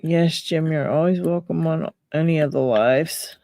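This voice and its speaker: Slow-talking Pittsburgh woman, dry close mic. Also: deep voice, warm tone, no room sound. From Pennsylvania, USA. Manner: chatty, reads out chat names, laughs often. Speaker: livestream host. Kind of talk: casual live chat with viewers.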